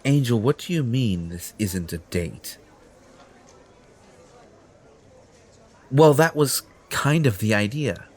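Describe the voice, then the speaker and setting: A man's voice: mid-range voice, lively intonation, unhurried, breathy edge. A man playing a smug, possessive, entitled superhero in an audio roleplay, pompous and condescending in manner. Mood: smug, defensive. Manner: pompous, self-satisfied, condescending. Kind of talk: audio roleplay acting addressed to the listener.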